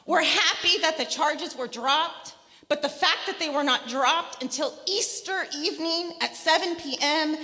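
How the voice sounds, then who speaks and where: A woman assistant professor giving an earnest public comment at a campus forum, pausing with fillers. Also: sits high, pushed and bright. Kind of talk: public comment at a town hall. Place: large indoor hall at a public forum. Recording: large hall with crowd noise.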